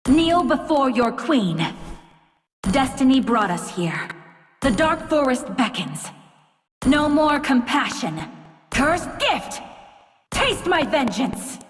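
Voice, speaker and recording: Long reverb and music carry this vengeful fairy-tale queen from a video game. She speaks slowly and with cold, regal poise. The delivery is proud and dramatic. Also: high-pitched, sing-song, heavily acted. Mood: commanding. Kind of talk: video game character voice lines.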